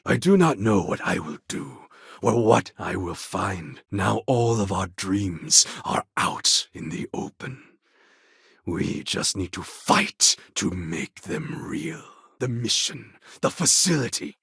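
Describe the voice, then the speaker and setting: Gravelly, proud warlord. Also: male voice, sits low, a bit bright, no echo, studio quality, full character voice. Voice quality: gravelly, husky. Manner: grandiose, formal, deliberate phrasing. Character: proud defiant cloned warlord, impassioned rebel leader. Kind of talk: dramatic video game character acting.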